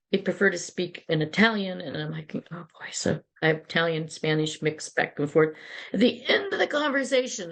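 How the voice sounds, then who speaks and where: Middle-aged woman guest, low gravelly voice, chatty storyteller. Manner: chatty, many restarts and asides. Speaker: interview guest, PR agency founder. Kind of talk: casual interview storytelling.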